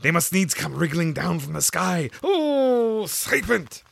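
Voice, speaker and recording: A man voicing an agitated, fussy female pigeon guarding her nest, who scolds loudly. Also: sing-song, slow, creaky, no echo, clean studio sound, exaggerated voice. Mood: scolding. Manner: shrill, scolding, loud.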